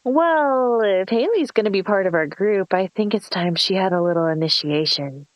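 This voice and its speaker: A woman's voice: medium pitch, animated, moderate pace, dry recording, natural voice. A woman acting a mean, bossy, scheming young woman in a horror script table read, with a sarcastic, entitled delivery.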